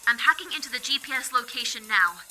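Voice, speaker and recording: High-voiced, brisk hacker sidekick, acted by a woman. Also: lively intonation, over music, natural voice, low-quality recording. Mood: brisk, focused. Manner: brisk, matter-of-fact, nagging. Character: brisk, businesslike young female hacker sidekick. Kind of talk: audio drama acting.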